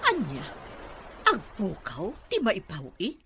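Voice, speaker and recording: Animated, concerned Ilocana mother. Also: on the low side, slow pace, a crowd in the background, full character voice. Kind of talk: Filipino radio drama acting. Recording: clearly noisy ambience behind.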